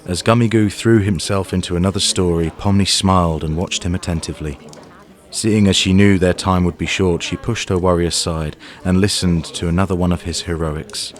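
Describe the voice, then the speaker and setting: A man's voice: sits low, fairly even intonation, fairly quick. A man narrating a scripted fantasy audio drama as its storyteller. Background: music.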